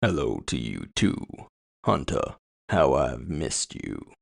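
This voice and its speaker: A male narrator's take on a theatrical cyberpunk villain in audio fiction. The voice sinks very low into a hollow, gravelly murmur. It moves slowly, with mocking courtesy and gloating menace. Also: big pitch swings, full character voice. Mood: smug, gloating. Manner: slow, mockingly courteous, boastful. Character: gloating, theatrical masked male villain with mind-control powers.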